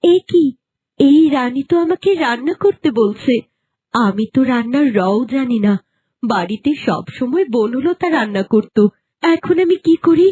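A woman's voice: sits high, expressive, measured pace, mellow, slightly rough, full character voice. A woman voicing a deceitful young new bride in a fairy-tale cartoon, anxious and placating. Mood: panicked.

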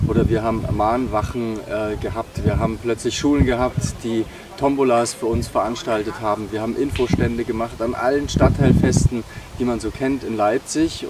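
German man outdoors, distant and noisy. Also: medium pitch. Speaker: volunteer group member. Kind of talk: casual talk to camera. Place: open countryside outdoors.

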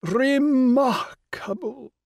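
A man's voice: expressive, a little mellow, dry recording, clean studio sound. A man voicing an imperious royal older woman on the phone, rich and emphatic, dwelling on words. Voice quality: rich, emphatic, high put-on voice. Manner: grand, insistent, drawn-out words. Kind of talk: audiobook character voice.

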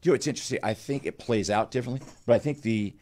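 A man's voice: mid-range voice, very fast, warm tone. An older man speaking as a guest psychologist, explaining things to a caller in a reassuring, validating way on a studio microphone. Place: indoor studio. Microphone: close studio microphone on an arm.

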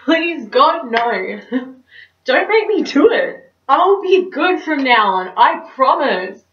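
A woman's voice: high-pitched, very animated, slow pace, slight background noise, pitched above the performer's own voice, full character voice. A young woman performing a stage monologue as a neurotic, melodramatic single woman, theatrical and anxious. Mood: desperate pleading. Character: neurotic, dramatic single woman dreading dating. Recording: roomy, distant mic, slightly dull.

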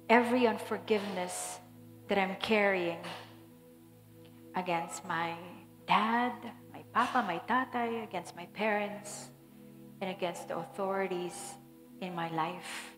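A woman's voice: mid-range voice, fairly even intonation, unhurried, long pauses. A woman pastor in her thirties, from the Philippines, leading a fervent prayer from a church stage. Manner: fervent, declaring prayer then softer guiding. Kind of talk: sermon prayer. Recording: echoey hall with soft music.

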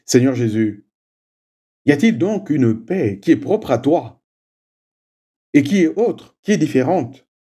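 A man whose first language is Swahili, leading a prayer and giving a slow, measured homily with frequent little "hein" asides. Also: medium pitch, warm tone, slightly rough. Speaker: preacher.

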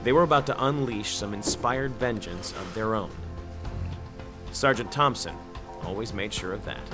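A man's voice: on the low side, normal speed, natural voice. A man playing a grave war journalist narrating. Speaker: game narrator and soldier. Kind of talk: game cutscene voice acting. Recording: game audio with music behind.

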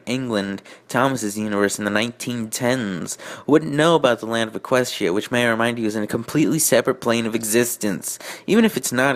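A man hosting a comedic fan fiction reading, with sarcastic, nitpicking commentary delivered haltingly. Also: fairly low pitch.

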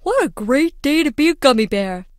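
An actress voicing a tiny, naive, melodramatic gummy bear in a game, with a squeaky delivery. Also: female voice, big pitch swings, moderate pace, no echo, clean studio sound. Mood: hesitant, naive.

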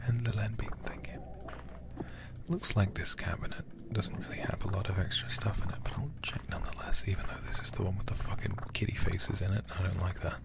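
A man streaming a game as ASMR, reading aloud and chatting softly in an intimate, soothing, breathy way, close to the microphone. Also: low-pitched, fairly even intonation, brisk. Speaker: livestreamer playing horror games.

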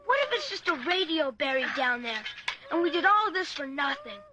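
A woman's voice: high-pitched, animated, moderate pace. A woman playing an eager, imaginative young child in a radio drama, on a noisy recording. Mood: curious, eager.

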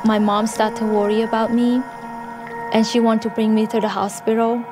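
Young woman, flat steady voice. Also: on the high side, moderate pace. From Cambodia. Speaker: interviewee. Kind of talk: personal testimony. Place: indoor studio interview set. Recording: music underneath.

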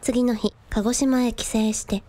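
A woman playing a considerate, composed younger sister with a gently wry streak, in a radio drama. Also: fairly high pitch, steady tone, normal speed, close to an everyday voice. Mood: gentle.

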